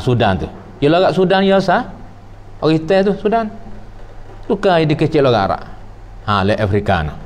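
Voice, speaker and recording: A man teaches a traditional religious text at an unhurried pace. He repeats his phrases, recites short Arabic lines and explains them in the local dialect. His voice sits at an ordinary middle pitch. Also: a little mellow. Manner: explains slowly, repeats phrases, quotes Arabic then glosses it. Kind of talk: traditional kitab lesson.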